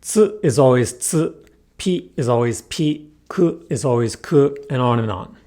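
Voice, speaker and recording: A young man narrating a scripted language lesson, explaining clearly with a touch of self-deprecating humor. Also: medium pitch, animated, a little slow, dark tone. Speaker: language teacher and channel host.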